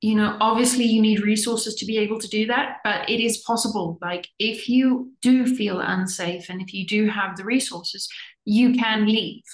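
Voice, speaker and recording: A woman's voice: mid-range voice, fairly even intonation, moderate pace. A middle-aged woman, born in the US and raised in Zimbabwe, talking earnestly as an interview guest and repeating words for emphasis. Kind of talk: reflective conversational talk. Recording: echoey room.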